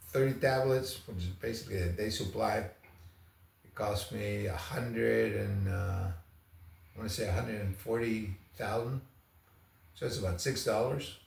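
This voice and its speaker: A man's voice: fairly low pitch, vocal fry. A man from Argentina talking casually as a travel vlogger, in a rambling, conversational, anecdotal way. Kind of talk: casual first-impressions vlog talk. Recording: roomy echo, distant microphone.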